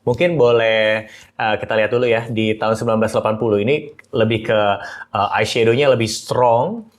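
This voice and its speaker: A man hosting a casual podcast chat who asks quick, curious follow-up questions. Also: on the low side, steady tone. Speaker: podcast host.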